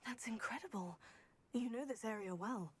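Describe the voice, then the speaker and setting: A woman's voice: a little high, moderate pace, lightly acted. An actress playing a bold, determined young student witch in a video game. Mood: curious. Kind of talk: video game dialogue acting.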